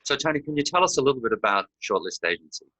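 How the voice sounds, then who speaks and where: A man's voice: mid-range voice, big pitch swings, rapid-fire, bright, forceful tone, poor audio. A man hosting an interview, asking formal questions and opening each one with the guest's name. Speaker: interview host.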